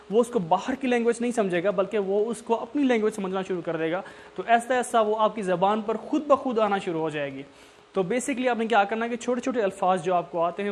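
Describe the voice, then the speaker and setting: A man, a Saraiki speaker, with a fairly high voice, talks learners through tips in a loose, unscripted lesson. He repeats his points and keeps checking that everyone is following. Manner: repetitive, coaxing, often checks understanding. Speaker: English tutor. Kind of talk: informal instructional talk.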